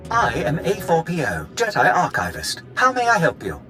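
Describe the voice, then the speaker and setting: An actor voicing a prim, fussy, polite robot archivist in a video game, formal and theatrical. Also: male voice, fairly high pitch, huge pitch swings, normal speed, loud music behind the voice. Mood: polite, helpful. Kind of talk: video game cutscene acting.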